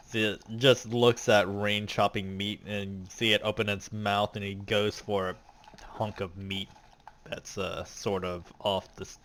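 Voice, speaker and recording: A man's voice: fairly low pitch, fairly bright tone. A man narrating as game master of a tabletop roleplaying game, casual with fillers.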